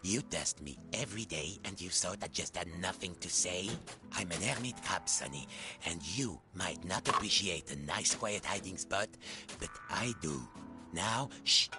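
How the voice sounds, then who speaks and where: A man voicing a rude, grumpy male hermit crab that scolds in a rough voice. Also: deep voice, animated, unhurried, music in the background. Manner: cranky, scolding.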